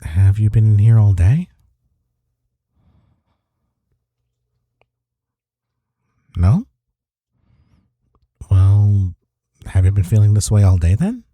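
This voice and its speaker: A man acts out a gentle, devoted boyfriend in an intimate audio roleplay. His voice is low and deeply mellow, recorded close and dry. He speaks softly and tenderly, reassuring a partner he cares for. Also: expressive, unhurried, no echo. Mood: caring, concerned. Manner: tender, reassuring, soft-spoken.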